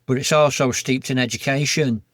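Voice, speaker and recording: A man with a broad Yorkshire accent talks in an earnest, heartfelt, plain-spoken way as a podcast guest. His voice sits in a middling pitch range. The sound is dry and close, with no room echo. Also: steady tone, normal speed, fairly bright tone. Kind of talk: candid personal interview.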